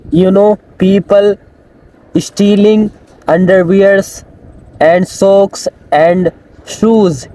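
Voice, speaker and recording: Young indian guy vlogging outdoors, high voice, slow with lots of uh, faint street noise. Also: darkish tone, poor audio. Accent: Indian English. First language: Hindi. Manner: simple plain English, many pauses and fillers. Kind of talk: casual vlog narration. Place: outdoors in a busy industrial area and market.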